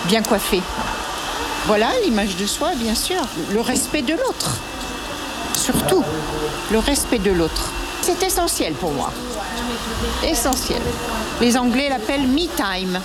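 A woman in a hair salon speaking slowly and emphatically, stressing her points by repeating them. A hair dryer roars loudly behind her voice. Her pitch sits in a middle range. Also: noisy background. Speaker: salon client interviewed. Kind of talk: radio interview.

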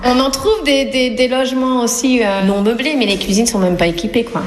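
A woman's voice: on the high side, fairly quick, reverberant, in a large hall, a little hiss or hum. A mother interviewed during an apartment visit, answering in a chatty, slightly hesitant way.

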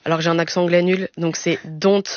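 A woman guest speaking thoughtfully on a radio show, measured and with some fillers. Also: fairly low pitch, level tone, no room sound, lo-fi sound.

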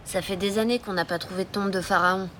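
A woman's voice: mid-range voice, steady tone, fast, a bit bright, a little hiss or hum, lightly acted. An actress playing a sullen, blunt Egyptian boy in an audio drama. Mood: grave.

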